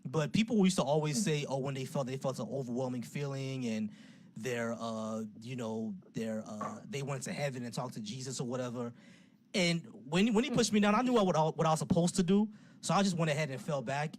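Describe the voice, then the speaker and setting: A man's voice: medium pitch, fairly quick. A man hosting a casual call-in debate stream, talkative and loose, laughing easily. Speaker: livestream host. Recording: noisy livestream audio.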